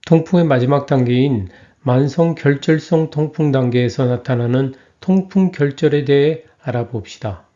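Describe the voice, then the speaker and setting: A man reading a scripted health lecture in an even, measured way. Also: medium pitch, muffled recording.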